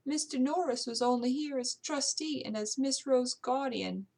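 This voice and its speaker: A woman's voice: high-pitched, moderate pace, gravelly, darkish tone, pitched above the performer's own voice. A woman voicing a proper, tearful older English housekeeper with a put-on British accent, in an audiobook-style story. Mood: stiff, resentful. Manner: proper, deferential, old-fashioned servant speech. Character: tearful prim elderly English housekeeper, loyal servant.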